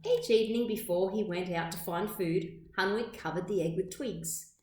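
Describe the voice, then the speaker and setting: In an echoey room, a woman reads a children's story aloud. Her medium-pitched voice moves through the sentences deliberately, shaping phrases with teacherly drama. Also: darkish tone, slight background noise. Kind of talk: expressive storybook read-aloud.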